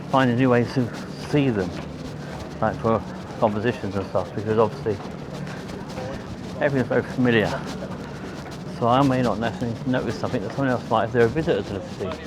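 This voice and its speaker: London bloke chatting casually on a walk outside, bit hesitant and rambly, city noise all round him. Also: male voice, medium pitch, fairly quick, pauses often. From London, England. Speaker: amateur photographer, vlogger. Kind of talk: casual walking commentary. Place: outdoors on a riverside walkway. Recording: outdoor city noise behind voice.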